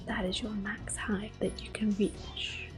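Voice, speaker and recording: Woman whispering asmr game commentary, soft n breathy, real slow, game music going behind her. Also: medium pitch, flat delivery. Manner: gentle, unhurried. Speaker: game streamer.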